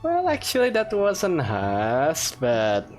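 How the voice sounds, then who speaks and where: Female let's play host: low-pitched, very varied intonation, casual and informal reactions. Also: huge pitch swings, slow, over music. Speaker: gaming video host.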